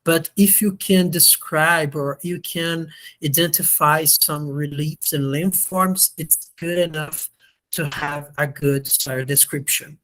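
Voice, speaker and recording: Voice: medium pitch, steady tone, takes it slowly, fairly bright tone, rough recording. A slightly sick lecturer who is not a native English speaker, explaining hesitantly on a video call and often checking understanding. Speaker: university lecturer.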